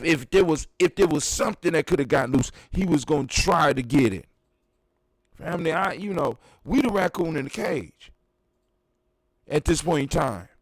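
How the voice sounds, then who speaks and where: Animated man in his fifties, dry sound. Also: sits high, no echo, rough recording. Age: 50s. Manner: animated, profane, emphatic ranting. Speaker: podcast host. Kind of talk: casual chat.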